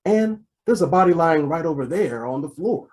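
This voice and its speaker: A man playing a brusque, exasperated, wisecracking police detective in an old-time radio comedy mystery, gruff and sardonic. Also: on the high side, lively intonation, normal speed, a little mellow, natural voice. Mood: suspicious. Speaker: voice actor.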